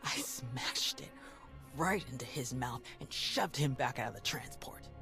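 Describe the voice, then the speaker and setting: A woman's voice: very deep voice, animated, measured pace, heavily acted. A woman playing a tough, battle-hardened Jedi security chief in a video game, speaking in a blunt, sardonic, clipped way. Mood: grim, haunted. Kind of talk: video game cutscene voice acting. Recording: music behind the voice.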